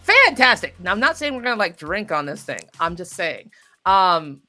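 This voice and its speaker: A woman chatting casually as an interview guest, excitable, effusive and expressive in the way she talks. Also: medium pitch, normal speed, soft background music. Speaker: voice actor guest being interviewed.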